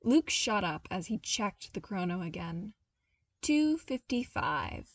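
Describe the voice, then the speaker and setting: Female audiobook-style narrator, mid-range, expressive storytelling read, dry room, slightly off-mic. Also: mid-range voice, takes it slowly, dry recording, distant mic. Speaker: podfic narrator reading fan fiction.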